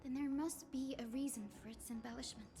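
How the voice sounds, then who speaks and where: An actress playing a gentle, polite and determined young girl in a video game, speaking earnestly and formally. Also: sits high, steady tone, a little fast, long reverb tail. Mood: gentle resolve. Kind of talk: scripted game drama. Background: game music.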